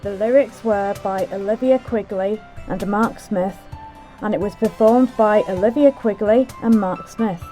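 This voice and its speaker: Unhurried, mellow woman announcer. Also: mid-range voice, low-quality recording. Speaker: credits announcer. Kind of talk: scripted narration. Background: music.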